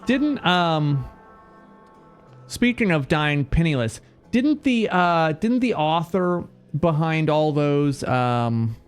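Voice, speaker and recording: A male streamer commenting on a game in a slow, unhurried way and joking at the characters. His voice is fairly high and very animated, with soft game music behind him. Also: clear, resonant tone. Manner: joking, rambling, talks back to the game.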